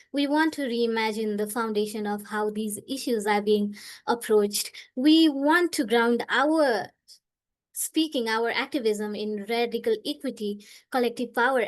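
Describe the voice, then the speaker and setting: Young woman, from Nepal, earnest panelist, fairly high voice, phrasing. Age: 20s. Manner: earnest, uses "like" often, non-native phrasing. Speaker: panelist, medical student. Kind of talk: online panel discussion.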